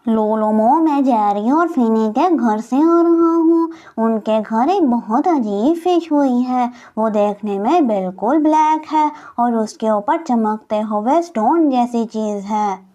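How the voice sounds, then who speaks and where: A woman's voice: a little high, animated, slow pace, few pauses, mellow, lo-fi sound, pitched below the performer's own voice. A woman voicing a suspicious, nosy male cartoon fish with a wary, accusing manner. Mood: alarmed.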